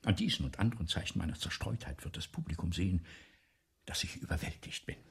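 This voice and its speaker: An actor playing a vain, excitable playwright in a German radio play, with a pompous, theatrical, lecturing manner. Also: male voice, deep voice, fast talker, vocal fry, husky, full character voice. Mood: scheming, bossy. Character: vain, excitable, self-dramatizing 19th-century male playwright.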